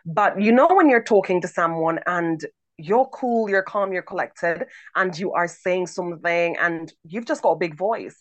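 A woman's voice: on the low side, moderate pace, no echo. A woman co-hosting a podcast, talking emphatically with a big, strong voice and repeating words for emphasis. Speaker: podcast co-host. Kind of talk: conversational podcast commentary.

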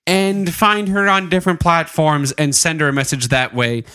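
A man's voice: fairly high pitch, steady tone, normal speed, pushed and bright, clear, resonant tone, dry recording, clean studio sound. A man co-hosting a comedic podcast, joking, laughing often and reading out listener messages. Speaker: podcast co-host. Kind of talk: casual comedic podcast chat.

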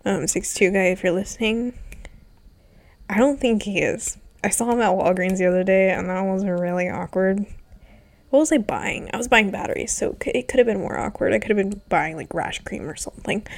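A young woman with a stuffy, congested nasal voice chats casually about her week. She rambles loosely, filling gaps with ums and dropping the odd curse word. Her pitch sits right in the middle for a woman. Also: moderate pace, gravelly. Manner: rambling, swearing, lots of ums. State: congested, sick with covid. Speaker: vlogger. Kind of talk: casual personal chat.

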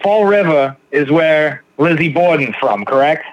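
A man hosting a casual podcast interview, halting and repeating words with many ums and likes. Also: fairly high pitch, animated, dry recording, highs cut off. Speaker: podcast host.

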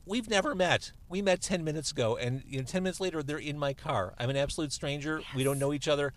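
A man's voice: fairly high pitch, quick pace. A man with a Chicago accent hosting a casual, joking chat with a band inside a small car. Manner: chatty, joking, animated. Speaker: host.

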